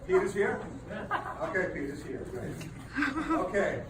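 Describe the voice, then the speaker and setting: A man's voice: sits high, very animated, measured pace. A man giving a humorous wedding rehearsal dinner speech, telling dry, self-deprecating jokes to a laughing crowd. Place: large banquet room with a crowd. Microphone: no microphone, recorded from across the room. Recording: echoey room, distant, loud crowd noise.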